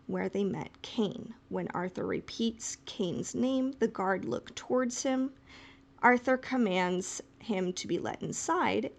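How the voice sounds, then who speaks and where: A woman co-hosting a podcast, talking casually and reading out a written recap. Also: medium pitch. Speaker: podcast co-host.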